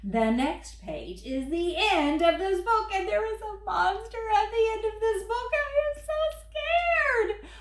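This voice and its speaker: A woman putting on a lovable furry monster from a storybook, high-pitched and fretful, who begs and gasps in a frantic, pleading way. The voice bounces around a roomy, echoing space. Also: animated, a little slow, few pauses, heavily acted. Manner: frantic, begging, gasping. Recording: roomy echo.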